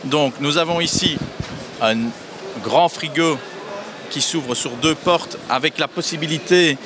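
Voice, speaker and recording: A man's voice: on the high side, measured pace, noisy background. A man in his thirties, a sales representative explaining a product feature by feature at a trade fair stand. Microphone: handheld microphone held by interviewer.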